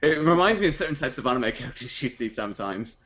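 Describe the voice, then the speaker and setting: A man's voice: mid-range voice, very animated, quick pace, a bit bright, dull audio. A man playing a tabletop game, explaining his thoughts on a character. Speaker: player. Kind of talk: casual tabletop game chat.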